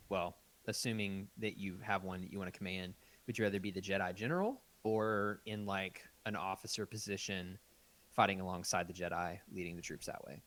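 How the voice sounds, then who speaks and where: A man's voice: on the low side, a bit bright. A man hosting a podcast, chatting casually. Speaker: podcast host.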